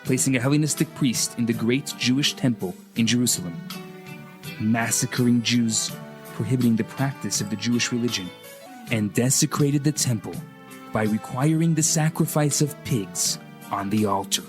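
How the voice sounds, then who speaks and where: A male radio host narrates in a scripted storytelling style. His voice sits at a medium pitch, with light music playing underneath. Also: moderate pace.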